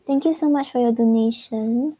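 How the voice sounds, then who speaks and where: Singaporean woman, tarot reader, soft dark tone, slightly high voice, chatty with giggles. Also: normal speed, poor audio. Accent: Singaporean English. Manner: chatty, giggles often, many "like" fillers. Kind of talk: casual livestream tarot reading.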